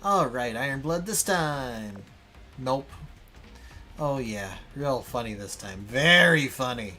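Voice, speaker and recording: A man's voice: mid-range voice, lively intonation, slow, pushed and bright. Sardonic, swaggering game character played by a man, exclamatory delivery, music underneath. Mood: sarcastic, frustrated.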